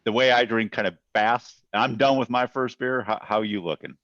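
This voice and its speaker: A man co-hosting a podcast, chatting casually over beers in a relaxed way and laughing often. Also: medium pitch, fairly quick, dry recording. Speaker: podcast co-host. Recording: dry, dull lo-fi sound.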